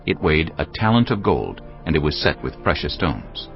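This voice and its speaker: A man's voice: extremely low pitch, sing-song, normal speed, gravelly, dull audio, faint music behind. A man narrating scripture in a scripted, dramatized audio Bible production.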